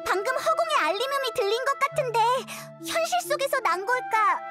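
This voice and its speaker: A woman voicing a tiny, childlike female fairy companion in a game, excitable, curious and given to exclaiming and wondering aloud. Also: very high voice, a little fast. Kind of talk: Korean game voice acting. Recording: music behind the voice.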